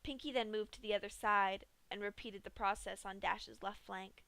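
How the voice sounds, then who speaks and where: A woman reading a dark fanfic aloud in a steady storytelling manner. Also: medium pitch, normal speed, vocal fry, no room sound. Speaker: fanfiction reader.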